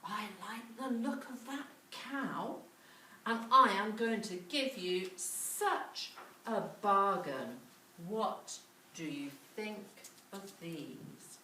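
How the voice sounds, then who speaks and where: Storybook trader, read by a woman: funny little man, sly, persuasive, lively intonation, echoing room. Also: medium pitch, very slow, far from the mic, a little hiss or hum, heavily acted. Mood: coaxing. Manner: persuasive patter.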